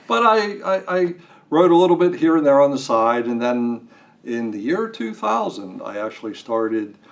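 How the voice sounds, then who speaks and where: An older man chatting as an interview guest, talking in a halting way with self-deprecating humor. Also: medium pitch, big pitch swings, gravelly. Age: 60s or older. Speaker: guest writer. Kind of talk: casual interview. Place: radio studio.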